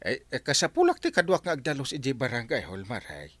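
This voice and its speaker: A man's voice: medium pitch, sing-song, quick pace, a bit bright, no echo. A Filipino man acting a fretful, insistent middle-aged village captain in an audio drama. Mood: brisk, fretful.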